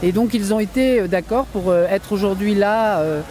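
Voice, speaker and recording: A woman introducing a press conference as spokesperson, improvising a little and pausing to rephrase. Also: mid-range voice, warm tone. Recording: faint outdoor urban noise.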